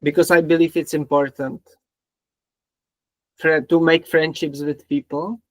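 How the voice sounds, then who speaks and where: Czech-accented man hosting, slightly high voice. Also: flat delivery, a little slow, no echo. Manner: repeats himself, simple phrasing. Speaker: podcast host. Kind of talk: casual interview talk.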